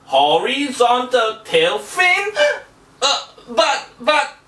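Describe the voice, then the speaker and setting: A woman's voice: on the high side, very animated, very slow, a bit bright, exaggerated voice. A woman voicing a naive, easily confused young fish puppet, hesitant and full of questions, in a children's show. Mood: hesitant, dismayed. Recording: roomy echo, distant microphone.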